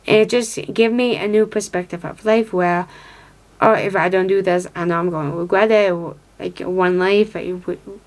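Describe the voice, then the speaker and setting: A woman's voice: on the low side, fairly even intonation, moderate pace, rough recording. American girl in her 20s vlogging, lots of like and and everything, kinda rambly, checks her notes. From upstate New York, United States. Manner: rambling, many fillers and restarts; reads from notes, looking down. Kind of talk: casual talk to camera.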